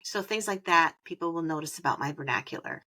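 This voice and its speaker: Woman, Wisconsin accent, podcast host, bright tone, playful casual chat. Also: deep voice, fast talker. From Wisconsin, United States. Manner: chatty, playful, jokes around.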